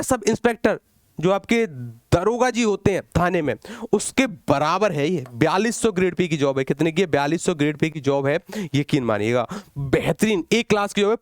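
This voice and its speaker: High-pitched male coaching teacher. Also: no echo, studio quality. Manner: asks questions and repeats the answers for emphasis; direct, conversational address to students. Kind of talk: exam-update lecture to students.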